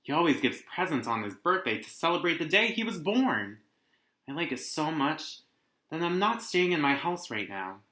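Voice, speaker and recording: A man voicing a gentle, courteous giant panda from a picture book, speaking formally. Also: fairly high pitch, big pitch swings, moderate pace, pitched above the performer's own voice. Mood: friendly. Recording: roomy indoor echo.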